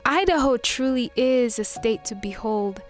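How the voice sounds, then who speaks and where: A young woman from Cameroon, speaking earnestly and in a measured way as a documentary narrator and filmmaker. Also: fairly high pitch, expressive, faint music behind.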